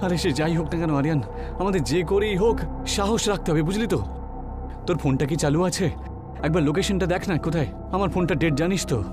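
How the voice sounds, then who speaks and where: Cartoon horror lead: frightened young man, male actor, high voice, urgent colloquial delivery, music beneath. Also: a little fast, natural voice. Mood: anxious. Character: frightened young men, friends fleeing haunted cinema. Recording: background music under the voice.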